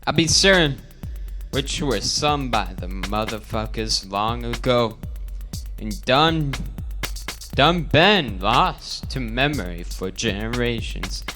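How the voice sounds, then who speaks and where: A man voicing an old male farm pig elder in a parody storybook, a solemn visionary with declamatory, drawn-out, slang-heavy speech. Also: medium pitch, expressive, bright, forceful tone, soft background music. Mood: solemn, proud. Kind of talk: parody storybook character reading.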